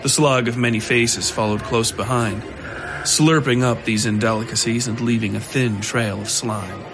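A man's voice: mid-range voice, fairly even intonation, moderate pace, poor audio. A man narrating scripted horror fiction as a storyteller. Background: music under the voice.

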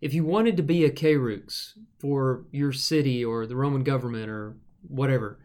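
A man with a thin, reedy, mid-pitched voice leads a relaxed podcast Bible study. He explains things thoughtfully, pausing often with fillers as he searches for words. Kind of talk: casual teaching conversation.

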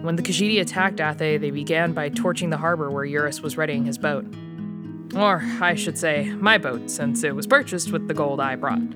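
A man's voice: fairly high pitch, moderate pace, loud music behind the voice, close to an everyday voice. A man doing a grim, wry Imperial rival. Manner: dry, wry.

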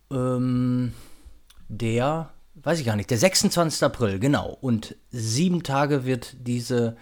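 Man, mid-range voice, podcast host, unscripted announcement, casual, chatty, hesitant, rambling. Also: medium pitch.

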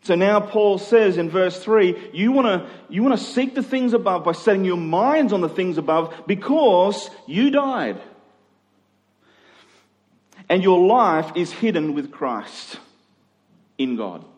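A man preaching a sermon as a pastor, swinging between emphatic and hushed delivery with rhetorical questions. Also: sits high, very animated, slow. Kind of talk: sermon to a congregation. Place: large reverberant church hall. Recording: long hall reverb.

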